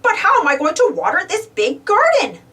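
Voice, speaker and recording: A lazy male cartoon duck farmer, as a woman reader puts him on, full of grumbling overconfidence. The pitch is high and very animated, heard a little away from the microphone in a storybook reading. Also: normal speed, far from the mic, heavily acted. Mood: boastful, grumbling.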